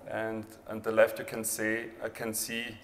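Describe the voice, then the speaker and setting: A man with an Austrian accent, a native German speaker, giving an informal, halting presentation of his own art project. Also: lowish voice, completely flat delivery. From rural Austria near the Hungarian border. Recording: reverberant hall, background noise.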